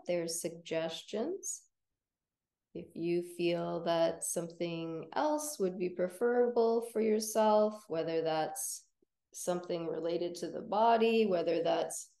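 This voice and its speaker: A woman teaching yoga nidra, guiding relaxation in a gentle, soothing, unhurried manner with long pauses. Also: fairly low pitch, creaky, roomy sound. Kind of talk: guided relaxation meditation.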